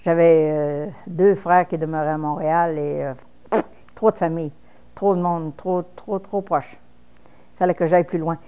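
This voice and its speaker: A woman's voice: lowish voice, fairly even intonation, normal speed, warm tone, smooth, highs cut off. A woman in her fifties from Quebec with a Québécois French accent, speaking frankly in a personal interview, with false starts. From Quebec, Canada.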